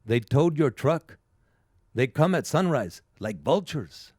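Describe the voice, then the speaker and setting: An actor playing a grave old man pushing a shopping cart in an audio drama, with a husky voice. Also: medium pitch, animated, slow pace, a little mellow, dry recording, clean studio sound. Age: older.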